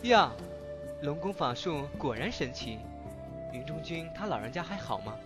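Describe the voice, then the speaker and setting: A man's voice: on the high side, big pitch swings, moderate pace. A man playing an earnest, good-natured young man in a Chinese ancient fantasy radio drama. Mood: amazed. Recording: roomy home recording under music. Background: background music.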